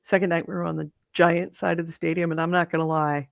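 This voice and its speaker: A slightly hoarse woman co-hosting a podcast, chatting in a rambling, self-interrupting way. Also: fairly low pitch, little pitch movement, fast talker, no room sound. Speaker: podcast co-host. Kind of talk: casual chat.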